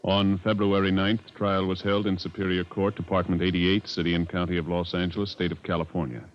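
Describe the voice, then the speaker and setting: A man announcing in a formal, measured 1950s scripted radio style. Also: low voice, flat delivery. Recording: dull old radio recording with music.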